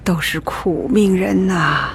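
An actress playing a sorrowful, forgiving old grandmother in a radio drama, gravelly and resigned, sighing as she recalls things. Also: on the low side, takes it slowly, slight background noise. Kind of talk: reflective radio drama acting.

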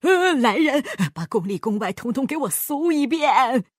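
A woman's voice: animated, moderate pace, a little mellow, breathy edge, no room sound, studio quality. A woman voicing a jittery, frightened old king who shrieks in panic. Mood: frantic. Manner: shrill, panicky outbursts.

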